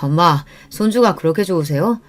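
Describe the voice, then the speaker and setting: A woman's voice: on the low side, animated, brisk, lightly acted. A woman voicing an adult son who talks casually and coaxingly to his mother in a radio story. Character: adult son, earnest, persuasive, asking his mother for help.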